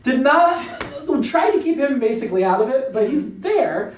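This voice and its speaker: A man's voice: very high voice, big pitch swings, normal speed, recorded from a distance. A man hosting a casual interview, talking in a conversational, amused and self-deprecating way. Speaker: podcast interviewer, show writer. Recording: echoey room, off mic, faint noise.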